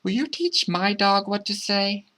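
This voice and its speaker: Man playing an earnest high-pitched child. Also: animated, unhurried, bright, forceful tone, heavily acted. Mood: eager, calling. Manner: pleading, calling out. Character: earnest, worried young child who loves their dog.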